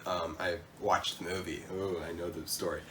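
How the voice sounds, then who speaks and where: A man with a very low voice and a cold talks through a casual book haul, relaxed and a little tongue-tied at times. Steady hiss sits behind him in a home video recording. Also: moderate pace, distant mic. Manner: relaxed, stumbles over words. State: has a cold. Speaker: co-host.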